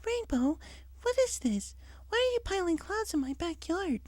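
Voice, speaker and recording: A man voicing a gentle, timid young female pony in a soft, breathy falsetto for a story reading. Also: sing-song, moderate pace, no echo. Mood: hesitant, curious.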